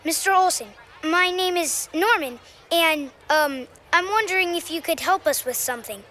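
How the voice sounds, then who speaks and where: A woman puts on an earnest young man puppet. The voice is very high and sharp, with polite, halting delivery for a puppet show. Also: slight background noise. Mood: nervous, earnest. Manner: hesitant, earnest. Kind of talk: church puppet show acting.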